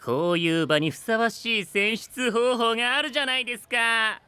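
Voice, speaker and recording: Bright, polite, playful young knight. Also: male voice, very high-pitched, normal speed, clean tone, no room sound. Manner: courteous, theatrical announcing. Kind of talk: Japanese anime-style voice drama acting.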